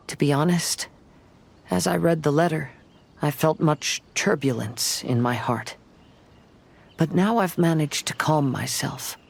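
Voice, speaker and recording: A man playing an earnest, composed young samurai in an anime-style game, speaking in a solemn, gentle, reflective way. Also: on the high side, measured pace, vocal fry, a little hiss or hum. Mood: reflective, resolute.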